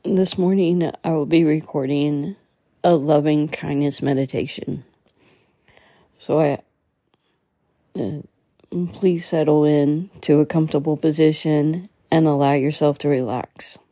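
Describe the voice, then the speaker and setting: A woman leading a guided meditation in a soothing, measured way, occasionally stumbling as she reads. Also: low-pitched, fairly even intonation, mellow, no echo. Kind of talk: guided meditation, slow instructional reading.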